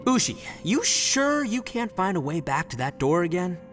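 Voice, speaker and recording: A man's voice: high-pitched, very animated, normal speed, over music. A man playing a boisterous, good-hearted young oni gang boss in a video game, brash, casual and frank. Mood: casual concern.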